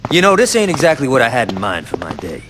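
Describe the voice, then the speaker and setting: An actor playing a brash, sarcastic, foul-mouthed gangster in a video game cutscene. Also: male voice, mid-range voice, huge pitch swings, fast talker, in a large hall, music in the background. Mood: casual, cocky. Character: cocky, foul-mouthed gangster, defiant, irreverent right-hand man.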